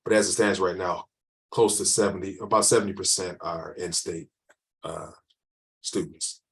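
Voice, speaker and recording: A man working as an admissions counselor, answering questions in a relaxed webinar and often restarting his sentences. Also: deep voice, moderate pace, gravelly. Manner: rambling, restarts often, many fillers. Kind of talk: informal webinar Q&A.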